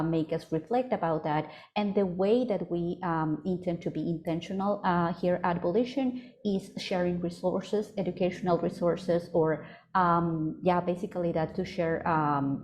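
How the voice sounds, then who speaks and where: A woman's voice: on the low side, a little mellow. A woman from Ecuador with an Ecuadorian Spanish accent, presenting a webinar on a video call, hesitant with many fillers and self-corrections. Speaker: project coordinator presenting a webinar. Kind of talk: virtual presentation. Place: home office in Vancouver.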